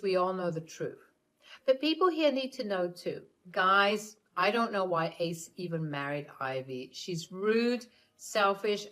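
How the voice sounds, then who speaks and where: A spiteful, entitled older mother-in-law sneers and scoffs, as a woman narrator reads her lines. Her voice sits at a middling height yet swings widely in pitch. She talks down to everyone, cutting and insulting. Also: a bit bright.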